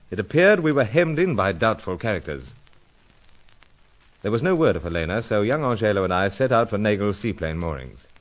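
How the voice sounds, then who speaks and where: An actor playing a gallant British secret agent, hero and narrator of an adventure radio serial, with clipped, old-fashioned, gentlemanly delivery. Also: male voice, on the low side. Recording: dull lo-fi radio serial audio.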